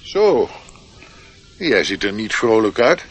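Radio play gardener: hospitable, plain-spoken, low, slow and gentle. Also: male voice, sing-song, slightly rough, full character voice. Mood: kindly.

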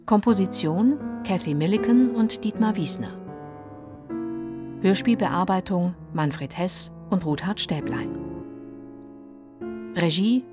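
A female radio announcer reads scripted credits in a formal manner. Her voice is on the low side and unhurried, and music plays clearly behind her. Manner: formal, clearly structured reading.

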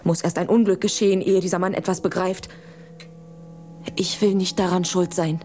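A woman's voice: on the low side, little pitch movement, normal speed, dark tone, faint music behind, lightly acted. A woman playing a tender, protective, anxious fisherman's wife in a children's radio play, with a fretful, pleading delivery. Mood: worried, brooding.

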